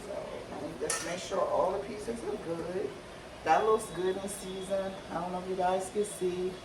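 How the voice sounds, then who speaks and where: A woman with a slightly hoarse voice casually talking her viewers through a cooking recipe. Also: fairly low pitch, moderate pace, background noise. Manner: relaxed, chatty, talks to viewers as y'all. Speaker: cooking vlogger. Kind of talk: casual cooking tutorial chat. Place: home kitchen. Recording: roomy kitchen, far from the mic, loud kitchen clatter.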